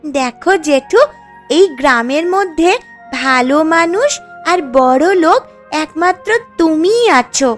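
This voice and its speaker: Lady doing a poor little village girl, really high voice, earnest n pleading, cartoon, music under it. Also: animated, measured pace. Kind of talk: Bengali children's cartoon story dialogue.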